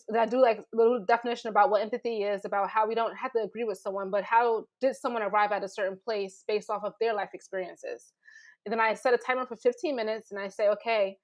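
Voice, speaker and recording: A woman who teaches writing, talking informally on a panel, emphatic and often rephrasing herself as she explains. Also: mid-range voice. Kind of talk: informal panel discussion talk.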